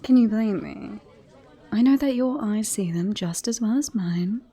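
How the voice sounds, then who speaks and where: Woman playing a slow, sultry flirtatious royal. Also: mid-range voice, dark tone, some chatter behind. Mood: wistful, sultry. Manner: teasing, sultry, breathy sighs and whispery phrases. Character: flirtatious, smug, romantic royal teasing a beloved knight. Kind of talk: ASMR roleplay acting.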